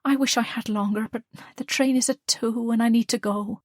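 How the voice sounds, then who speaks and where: A woman's voice: a little high, steady tone, moderate pace, a little mellow, no room sound, clean studio sound, voice pushed up, close to an everyday voice. A woman reading the part of a nervous, earnest young student daughter. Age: young adult. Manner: earnest, hesitant.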